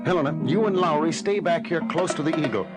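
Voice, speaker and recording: Guy acting brisk space commander in audio drama, highish voice, loud music behind. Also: natural voice. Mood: brisk, commanding. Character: decisive male moon base commander.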